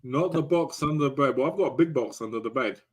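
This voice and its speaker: A man hosting a casual live stream, playful and animated, with quick interjections. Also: mid-range voice, slightly rough. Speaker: host. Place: home setup for a live stream. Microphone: desk mic for a live stream.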